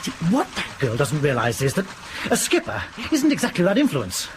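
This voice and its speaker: A man's voice: fairly high pitch, wildly swooping pitch, normal speed. An actor playing a flirtatious, bossy airline captain in a radio drama, bantering and touchy about his rank. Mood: peeved, bantering. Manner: bantering, stammering slightly when flirting. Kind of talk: broadcast radio drama acting. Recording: noisy vehicle background.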